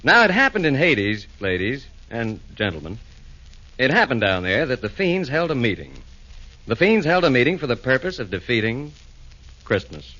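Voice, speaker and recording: A man's voice: mid-range voice, big pitch swings, normal speed, bright, forceful tone. A man narrating a rhyming comic radio drama in a theatrical, sing-song manner. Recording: dull old radio broadcast.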